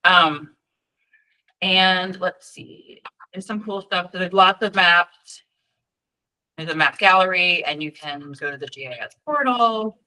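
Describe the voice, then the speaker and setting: A woman's voice: lowish voice, takes it slowly, sharp tone, poor audio. A woman presenting data tools at an online work meeting, talking in a chatty, informal way with frequent ums and friendly asides. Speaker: data team presenter at a state EMS registry meeting. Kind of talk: virtual meeting presentation with screen share.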